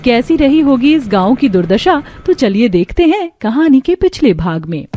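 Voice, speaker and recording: A woman reading scripted narration for a cartoon story. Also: fairly high pitch.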